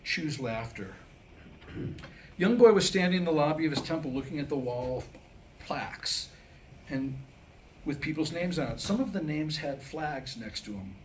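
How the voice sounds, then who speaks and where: A mature man delivering a scripted comic talk to a live audience, telling stories and jokes with deliberate pauses. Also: on the high side, expressive, slight background noise, rough recording. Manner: storytelling, deliberate pauses, acting out dialogue in jokes. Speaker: humorist and author. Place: indoor room, live staged reading.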